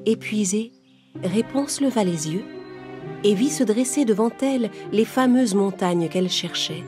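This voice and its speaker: A woman's voice: medium pitch, lively intonation, unhurried. A woman storyteller reading a scripted children's fairy tale. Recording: music behind the voice.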